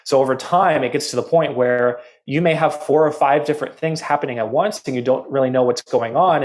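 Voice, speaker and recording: A man appearing as a podcast guest, calmly explaining health and fitness topics in casual conversation. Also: medium pitch. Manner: calm, explanatory. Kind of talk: casual interview chat.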